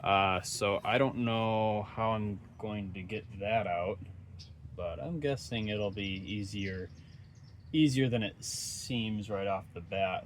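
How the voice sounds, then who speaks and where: Low-voiced man casually narrating, noisy background. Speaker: host of radio repair videos. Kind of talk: casual unscripted hands-on repair commentary. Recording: steady background noise, ambient sound.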